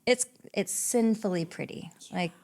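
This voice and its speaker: A woman's voice: mid-range voice, measured pace, warm tone. A woman, chatting casually as an interview guest in a small recording studio, rambling and laughing often. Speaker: singer-songwriter guest.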